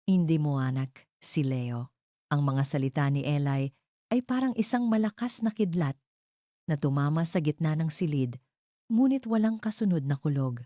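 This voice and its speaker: A woman narrating a scripted love story in a deliberate, melodramatic way. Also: deep voice, animated, warm tone, no echo, clean studio sound.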